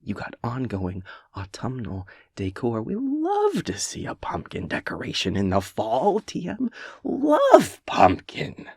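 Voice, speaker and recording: A man playing a chaotic, playful gremlin boyfriend in an intimate comedic audio roleplay, theatrical and rambling, with a husky, breathy, creaky voice. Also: medium pitch, wildly swooping pitch, slow pace, no echo, clean studio sound, exaggerated voice. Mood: eager planning. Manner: theatrical, rambling, mock-grandiose wordplay.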